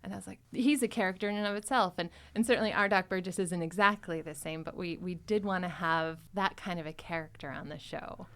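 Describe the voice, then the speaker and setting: A woman hosting a podcast in casual, animated chat, often slipping into quoted dialogue. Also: on the low side, fairly quick, hardly any pauses. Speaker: podcast host.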